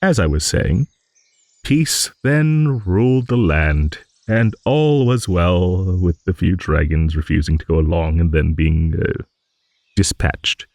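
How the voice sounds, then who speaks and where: A man's voice: sits low, animated, takes it slowly, a little mellow, no echo, clean studio sound, heavily acted. A man voicing an ancient, good-natured old dragon who rambles and muses in a fantasy audio roleplay. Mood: musing, fond. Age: older. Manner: rambling, musing, with hums and chuckles.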